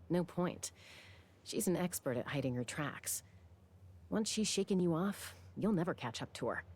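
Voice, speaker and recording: A woman's voice: deep voice, lively intonation, measured pace, long pauses, a little mellow, slightly rough, full character voice. A woman playing a cool, guarded young woman in a video game, with an understated, casual delivery. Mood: resigned, wistful.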